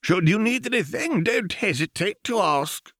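A man's voice: high voice, lively intonation, normal speed, a bit bright, no echo, studio quality, pitched above the performer's own voice, full character voice. A man voicing a wizened, rickety old male archivist in a storybook reading, deferential and obliging. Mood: deferential, helpful. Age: older.

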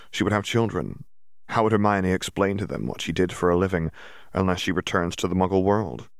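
A man narrates a work of fiction in a low voice. The delivery is scripted audiobook storytelling, and the recording is dry, with little room sound. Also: dry recording.

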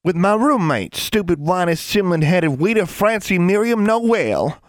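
A feisty little country girl acted by a man complains. The voice is pitched far above a man's usual talk, petulant and indignant, in a dry storybook reading. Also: fairly even intonation, normal speed, husky, dry recording. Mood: indignant, mocking.

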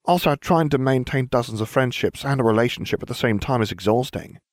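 A man's voice: medium pitch, a little fast, no room sound, studio quality, voice pushed up, lightly acted. A man voicing a cheerful teenage girl with a chirpy, breezy way of talking.

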